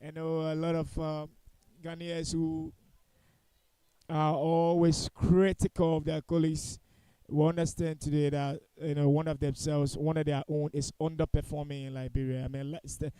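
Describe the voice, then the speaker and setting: High-pitched male football commentator. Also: little pitch movement, moderate pace, warm tone, clean tone, no room sound, poor audio. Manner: critical, opinionated. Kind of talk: live match commentary.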